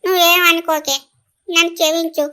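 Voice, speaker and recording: A woman's voice: very high-pitched, level tone, normal speed, sharp tone. A woman with a Telangana accent acting an emotional, blunt and remorseful elder sister in a rural family drama, speaking plaintively with many exclamations. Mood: tearful remorse.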